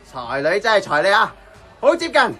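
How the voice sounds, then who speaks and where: An actor playing a tipsy, brash young man in a Hong Kong Cantonese radio drama, loud and rambling. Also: high-pitched, huge pitch swings, normal speed, faint music behind, exaggerated voice. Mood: tipsy, boisterous. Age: young adult.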